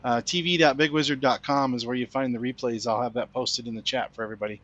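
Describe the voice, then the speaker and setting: A man's voice: medium pitch, fairly even intonation, fast, a bit bright, no room sound, muffled recording. A man hosting a sim-racing broadcast, interviewing drivers after the race in an easygoing way with fillers. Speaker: race commentator host.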